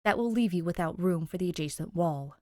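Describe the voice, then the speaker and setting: A woman's voice: lowish voice, animated, a little fast, a little mellow, no echo, clean studio sound, lightly acted. A woman voicing a formal, condescending teenage boy with clipped speech in an audio story. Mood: curt.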